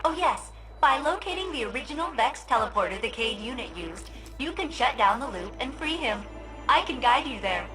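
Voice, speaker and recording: A woman's voice: fairly high pitch, animated, normal speed, music in the background, natural voice, poor audio. An actress playing a bubbly, helpful female spaceship artificial intelligence in a video game, chatty and eager to assist. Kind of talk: video game cutscene acting.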